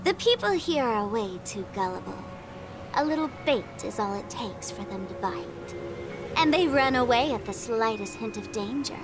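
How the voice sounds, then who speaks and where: A woman's voice: fairly high pitch, animated, normal speed. An actress playing a mischievous, taunting young female trickster in a video game, with a teasing, theatrical delivery. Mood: mock hurt, scornful. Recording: loud music under the voice. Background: loud game music.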